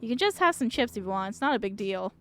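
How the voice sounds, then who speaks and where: A woman's voice: a little high, lively intonation, fast talker, soft background music. A woman voicing a bored, dismissive young man minding a store in a visual novel, with a nonchalant, sullen manner. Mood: bored, shrugging. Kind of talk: visual novel character read-aloud.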